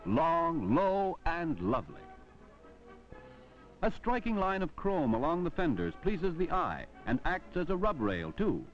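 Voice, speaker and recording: An American man reading a 1950s car advertisement as a polished sales pitch. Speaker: commercial announcer. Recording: dull vintage film soundtrack, music behind.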